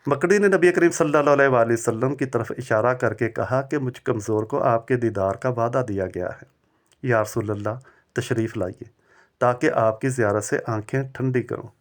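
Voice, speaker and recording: A man delivering scripted religious narration as a preacher and storyteller, with formal, measured, reverent diction. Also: medium pitch.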